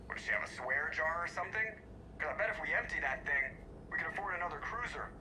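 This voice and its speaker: A man's voice: on the high side, expressive, fast, noisy background, lightly acted. An actor playing a cocky, wisecracking male spaceship pilot in a video game, sarcastic and joking. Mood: teasing.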